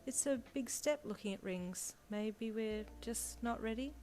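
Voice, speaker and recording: Woman as a gentle young girlfriend, raised pitch. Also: measured pace, lightly acted. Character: kind, reassuring young woman, the hero's girlfriend.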